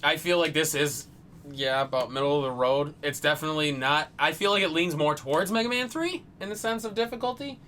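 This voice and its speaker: A man's voice: fairly high pitch, a little fast, sharp tone, slight background noise. A man giving casual, profane gaming banter as a show host, boisterous and teasing, with video game music behind him.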